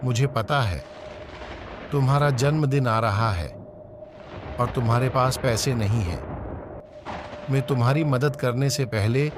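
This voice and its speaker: A man's voice: mid-range voice, a little slow, pauses often, natural voice. Man playing a solemn, kindly ghost, noisy backdrop. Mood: solemn, benevolent. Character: benevolent male ghost who tests and helps children. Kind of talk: cartoon story voice acting.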